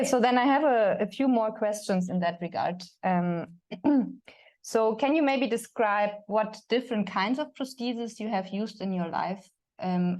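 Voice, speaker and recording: A young woman moderating a panel discussion, friendly and filling pauses often. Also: mid-range voice, a little mellow. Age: young adult. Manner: friendly, many "um" fillers.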